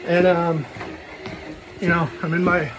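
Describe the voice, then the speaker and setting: A man's voice: fairly high pitch, level tone, measured pace, over music, low-quality recording. A man casually rambling through a personal vlog, dropping self-deprecating, jokey asides. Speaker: vlogger. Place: talking while out walking.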